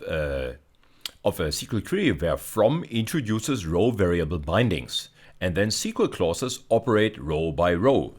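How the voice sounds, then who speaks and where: A man teaches in the manner of a university lecturer. He explains technical material step by step, repeating key phrases to stress them. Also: low voice. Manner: didactic, repeats phrases for emphasis.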